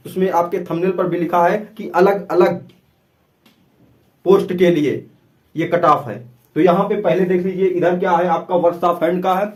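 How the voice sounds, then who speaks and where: A man with a notably high voice presents exam information to viewers. He explains informally and instructively, circling back over points and pressing listeners to pay attention. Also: darkish tone. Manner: instructive, repetitive, urging attention. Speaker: exam-prep YouTube presenter.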